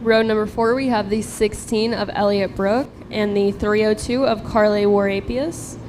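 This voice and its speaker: A woman announcer reading the starting grid row by row in a steady, list-like delivery. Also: medium pitch, normal speed, a little hiss or hum. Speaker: race announcer.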